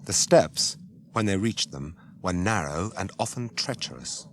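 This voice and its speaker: An actor playing a grave storyteller, narrating an epic fantasy radio drama in a solemn, measured, formal manner. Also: male voice, low-pitched, expressive, noisy background. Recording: music under the narration.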